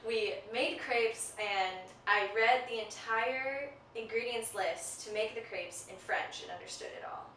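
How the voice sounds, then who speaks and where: A woman's voice: medium pitch, a bit bright, echoey room, distant mic, slight background noise, poor audio. An American woman in her twenties, a university student, talking earnestly and reflectively in an interview, with frequent ums and likes. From United States. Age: 20s.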